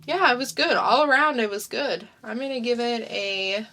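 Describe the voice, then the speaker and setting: A young woman co-hosting a podcast in casual chat, unhurried and often giving short agreeing replies. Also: fairly high pitch, bright, forceful tone. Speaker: podcast co-host reviewing comics.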